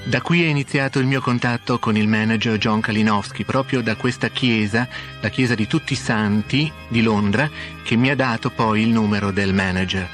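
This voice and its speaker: An Italian man with an Italian accent hosting a live radio interview in a halting, polite way. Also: lowish voice, a bit bright. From Italy. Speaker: radio host. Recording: orchestral music under radio talk. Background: orchestral music.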